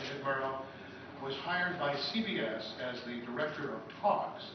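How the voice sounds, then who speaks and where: A man giving an informal history lecture in an off-the-cuff, halting way, with frequent asides. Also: mid-range voice. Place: echoey convention room, live talk. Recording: very echoey room, distant mic, dull, noisy.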